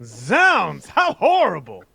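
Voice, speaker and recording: Excitable florid gentleman, old radio drama played by a man: pompous, bright, swooping, slightly noisy line. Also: high voice, slow, vocal fry, slightly rough, no room sound, heavily acted. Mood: horrified. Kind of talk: 1940s radio serial acting.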